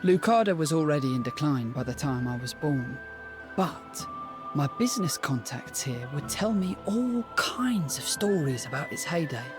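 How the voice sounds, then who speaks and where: Genteel merchant-turned-warrior spirit, male actor: fairly high pitch, urbane, articulate, musing, fantasy game scene with music. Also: expressive, moderate pace, close to an everyday voice. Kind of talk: fantasy video game voice acting.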